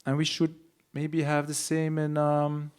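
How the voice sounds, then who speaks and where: A man's voice: fairly high pitch, monotone, a little slow, a little mellow, clean tone. A man in a casual panel discussion who speaks hesitantly, with many fillers and restarts. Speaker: podcast panelist.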